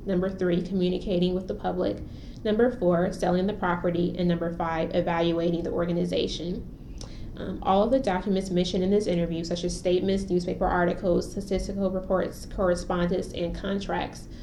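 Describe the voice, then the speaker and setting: A woman's voice: lowish voice, dark tone. A woman conducting a formal oral history interview, reading a structured preamble before asking questions. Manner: reads a formal preamble, then short acknowledgments. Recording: roomy echo, faint noise.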